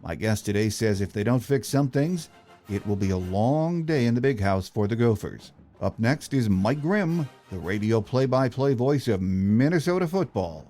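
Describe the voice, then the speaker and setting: A man's voice: mid-range voice, animated, moderate pace, mellow, faint music behind. An American man hosting a sports podcast, reading a prepared script. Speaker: podcast host.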